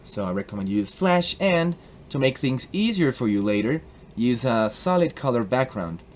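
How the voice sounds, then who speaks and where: A man's voice: medium pitch, big pitch swings, moderate pace, a little hiss or hum. Playful Colombian man hosting a tutorial. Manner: playful and jokey, slipping into put-on accents. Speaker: tutorial host. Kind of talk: casual screen-recorded software tutorial.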